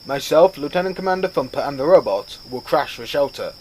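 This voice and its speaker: A man's voice: on the high side, lively intonation, a little fast, close to an everyday voice. A resolute rabbit captain of a starship, acted by a man, speaks in a slightly raised register. He issues orders with military authority in a science fiction audio drama, over faint ambient noise. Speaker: ship captain giving orders.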